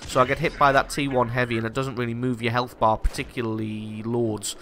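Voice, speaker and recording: Stuffy guy with a cold, bright punchy voice, chill self-mocking game commentary. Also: medium pitch, level tone, a little fast, a little hiss or hum. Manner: casual, self-deprecating, explanatory. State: congested from a cold. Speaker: gaming channel host. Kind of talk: gameplay commentary.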